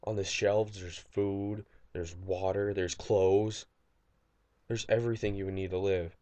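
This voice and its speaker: A young man playing a traumatized survivor recording an audio log, halting and distraught, stammering and repeating himself in an amateur audio drama. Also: deep voice, little pitch movement, mellow, no echo. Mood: uneasy, bewildered.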